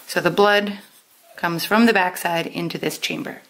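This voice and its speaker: A woman teaching a step-by-step lesson in a deliberate, instructional way, pausing and stressing key terms. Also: lowish voice, steady tone, fairly bright tone, slight background noise, rough recording. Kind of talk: step-by-step lesson with pauses for note-taking.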